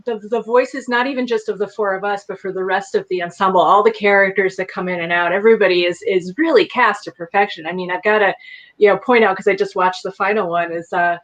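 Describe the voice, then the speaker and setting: A woman guest on a podcast chatting casually with warmth, laughing as she praises the cast. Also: medium pitch, steady tone, fast. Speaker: voice actor guest.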